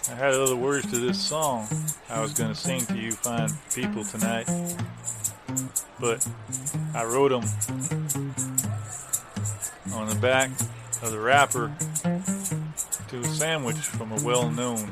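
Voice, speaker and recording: A man playing a boozy, forlorn boardwalk busker, giving a halting, deadpan comic monologue to an audience over guitar. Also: mid-range voice, close to an everyday voice. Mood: rambling, wistful. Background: acoustic guitar playing.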